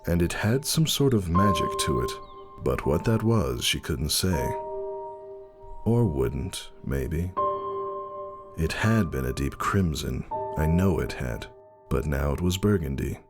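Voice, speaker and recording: A man giving a dramatic first-person story reading in a measured, wistful way. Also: extremely low pitch, animated. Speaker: audiobook narrator. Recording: music behind the reading.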